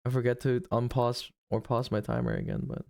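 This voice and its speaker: A man's voice: mid-range voice, very animated, fairly quick, creaky, darkish tone. A man live-streaming, chatting casually with his viewers, thanking subscribers and rambling with laughs and restarts. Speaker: livestreamer.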